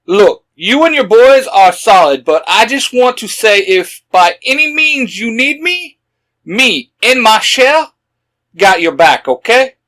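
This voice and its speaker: A brash, loyal mutant rogue, played by a man telling a story, pushing each phrase hard. The voice is pitched well up, bright and swooping. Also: very animated, slow, exaggerated voice. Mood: earnest.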